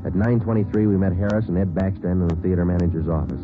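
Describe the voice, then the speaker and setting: A man with an American accent acting a terse, deadpan police detective in a 1940s radio drama, on a muffled old recording. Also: sits low, quick pace, music in the background, lightly acted. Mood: deadpan, factual. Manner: clipped, matter-of-fact, deadpan. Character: terse, businesslike male police detective sergeant, narrating his case. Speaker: lead actor and narrator.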